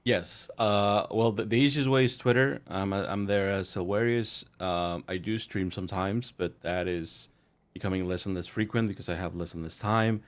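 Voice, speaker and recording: Deep-voiced man, hesitant and halting. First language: Spanish. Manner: hesitant, with many restarts. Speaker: guest writer. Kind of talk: casual interview talk.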